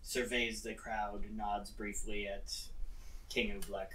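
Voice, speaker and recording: A man's voice: lowish voice, flat delivery, slow, pushed and bright, reverberant. A man playing a tabletop roleplaying game, tersely narrating his character's actions. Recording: noisy, far from the mic.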